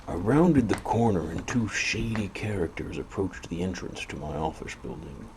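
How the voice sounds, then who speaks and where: Over clear background noise comes a man acting as a noir private eye with a very low voice. He is gloomy and world-weary, delivering hard-boiled narration and dry retorts in a brooding deadpan. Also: normal speed.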